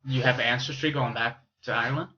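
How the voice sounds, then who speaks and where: A man's voice: medium pitch, fairly even intonation, a little fast, pushed and bright, dull audio. A man from Romania who grew up in the US, hosting a podcast interview in casual, slangy, curious chat. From born in Romania, raised in the US from age eight. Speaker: podcast host interviewing a guest.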